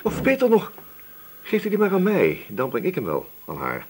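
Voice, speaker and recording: An actor playing a stern, relentless, sardonic police inspector who questions insistently in a radio play. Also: male voice, sits high, wildly swooping pitch, normal speed, a little hiss or hum. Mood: dry, probing. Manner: probing, insistent, threatening. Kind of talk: Dutch radio play dialogue.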